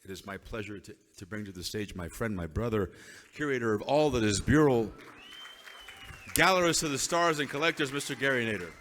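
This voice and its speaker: A man's voice: mid-range voice, lively intonation, fairly quick, a bit bright, a faint crowd, slight background noise. A man acting as master of ceremonies gives a formal, emphatic introduction at a gala, building to a rousing crescendo. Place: large banquet hall. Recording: long reverb from banquet hall PA.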